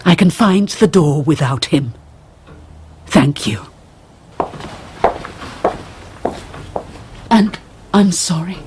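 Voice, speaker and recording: An actress in a radio drama playing an anguished middle-aged noblewoman and mother, formal and careful, with a husky, breathy voice. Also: low voice, animated, slow pace, a little mellow, slight background noise. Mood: wounded, controlled.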